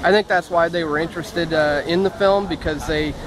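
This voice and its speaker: A man's voice: on the high side, steady tone, brisk, a crowd in the background, poor audio. A man being interviewed outdoors in a city park, answering with many hesitations and fillers. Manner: hesitant, many ums and uhs. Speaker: interviewed filmmaker. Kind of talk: casual street interview.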